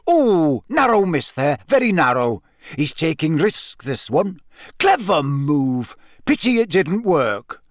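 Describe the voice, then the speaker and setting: A man voicing a jovial middle-aged male games official in an audiobook, chummy, booming and theatrical. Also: big pitch swings, slow, no room sound, clean studio sound. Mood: excited, booming. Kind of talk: acted audiobook dialogue.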